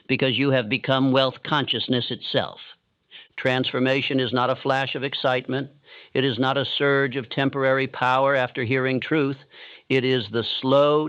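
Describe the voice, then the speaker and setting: A man reading a scripted motivational sleep audiobook in a calm, steady, solemn manner. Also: mid-range voice, dry recording, highs cut off. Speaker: audiobook narrator.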